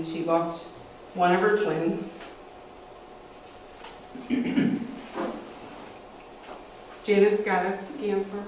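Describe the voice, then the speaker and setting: A woman's voice: medium pitch, a little mellow. Middle-aged woman in a very echoey room. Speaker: speaker sharing family memories. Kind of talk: informal storytelling at a community talk. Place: small meeting hall, indoors. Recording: roomy, distant mic, faint noise.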